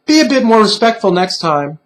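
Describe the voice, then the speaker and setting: A man's voice: high-pitched, very animated, brisk, a bit bright, pitched above the performer's own voice. A man voicing a strict, menacing female teacher doll in a falsely sweet sing-song for a horror storybook reading.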